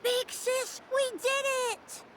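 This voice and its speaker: A woman's voice: extremely high pitch, level tone, slow pace, heavy background noise. A woman voicing a tiny, timid, cutesy child creature in a video game cutscene with music behind. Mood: delighted.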